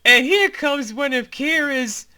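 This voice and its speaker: A man who directs a fantasy audio series, co-hosting a casual behind-the-scenes commentary in an animated, colloquial way. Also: extremely high pitch, slow pace.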